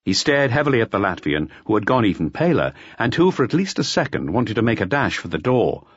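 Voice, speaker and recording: A man's voice: on the low side, big pitch swings, brisk, husky, no room sound, highs cut off. A man reading a mystery audiobook as a scripted narration. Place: close, dry recording.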